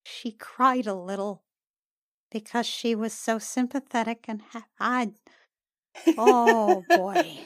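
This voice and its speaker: Woman roleplaying in a tabletop game, awkward and halting, unhurried, dry close sound. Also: a little high, no echo. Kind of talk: casual improvised roleplay.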